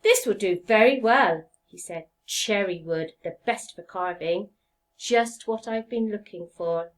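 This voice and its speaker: A woman's voice: mid-range voice, a little slow, lightly acted. A woman voicing a kindly, nervous old woodcarver who speaks eagerly and fondly in a raised voice. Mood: pleased. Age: older.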